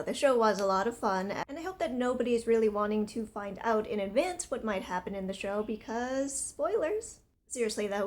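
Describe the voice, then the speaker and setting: Woman, twenties, hoarse, scratchy, casual vlog chat, rambling. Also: mid-range voice. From United States. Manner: rambling, earnest, with many fillers. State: hoarse, strained voice. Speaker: vlogger.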